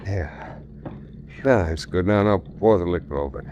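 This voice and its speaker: Low, bossy gangster over loud noise. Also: male voice, unhurried, lo-fi sound. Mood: terse, wary. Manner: terse, commanding. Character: wary, bossy male gangster giving orders. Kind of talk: 1940s radio crime drama acting. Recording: very noisy old radio, loud car rumble.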